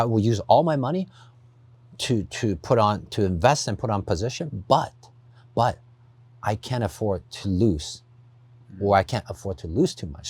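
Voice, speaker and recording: Low-voiced man from Taiwan, dry studio sound. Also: a little mellow, slightly rough, dry recording. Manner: earnest explaining with frequent "you know" and "right?". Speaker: guest investor. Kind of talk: podcast interview.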